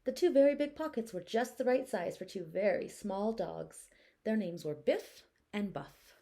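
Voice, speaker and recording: A woman teacher narrating a children's storybook aloud with expressive, deliberate phrasing. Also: medium pitch, background noise.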